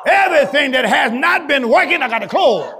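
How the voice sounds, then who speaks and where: Male pastor, very high voice, lively pitch, shouting a sermon, crowd noise behind in a large church. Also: brisk, creaky. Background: congregation crowd.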